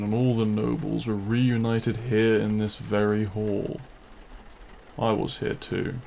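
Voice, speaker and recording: Demon king male actor for romantic audio roleplay: deep, very unhurried, smug and possessive, courtly menace, some background noise. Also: creaky, darkish tone. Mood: smug, insistent. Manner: theatrical, silky, menacing yet courtly. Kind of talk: scripted audio roleplay, addressed to the listener.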